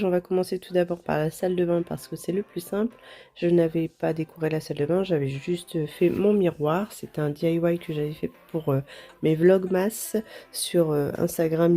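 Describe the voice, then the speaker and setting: Stuffy-nosed woman, dark mellow voice. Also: lowish voice. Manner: gentle, chatty, apologetic asides with light laughs. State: stuffy nose from a cold. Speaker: home vlogger. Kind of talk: casual vlog narration.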